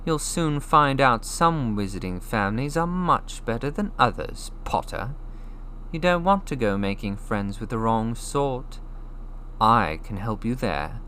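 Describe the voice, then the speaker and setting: A man's voice: medium pitch, lively intonation, a little slow, bright, forceful tone, slight background noise, voice pushed up. A man voicing a snobbish, sneering young schoolboy wizard with a mocking drawl, reading a storybook. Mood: smug.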